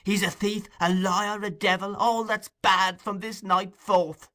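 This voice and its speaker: A man acting a desperate young thief girl from a classic storybook, her voice pushed high and sharp. She is furious and hysterically passionate, pouring out words in vehement, loud outbursts. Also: flat delivery, unhurried. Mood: passionate rage. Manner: vehement, torrential, screaming outbursts.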